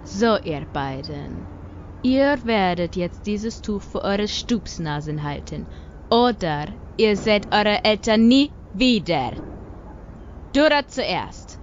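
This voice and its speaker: Radio-play villainess female actor, mid-pitched, unhurried, mocking menace, slightly muffled sound. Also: sing-song, slight background noise. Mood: threatening. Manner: condescending, menacing, drawn-out delivery. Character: cold, mocking villainess, ringleader holding children captive. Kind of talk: radio drama acting.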